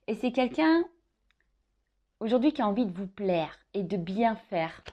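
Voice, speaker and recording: A woman's voice: medium pitch, lively intonation, a little slow, mellow, highs cut off. A woman giving an informal, improvised tarot reading straight to the listener, thinking aloud with hesitations, repeats and little tag questions. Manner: hesitant, thinking aloud, with frequent "hein" tags and repeated phrases. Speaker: tarot card reader hosting a love horoscope reading. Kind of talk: informal improvised tarot guidance addressed to the viewer.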